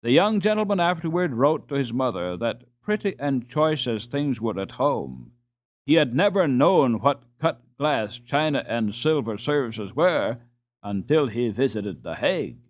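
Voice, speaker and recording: A man narrating a storybook as an audiobook reader, in a measured, expressive way. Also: mid-range voice.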